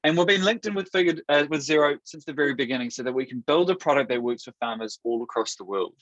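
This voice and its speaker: A New Zealand man with a Kiwi accent presents a product webinar over a video call. His delivery is upbeat and steady, with the odd restart. The audio is dry and a bit dull. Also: medium pitch, quick pace, dry recording. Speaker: webinar host.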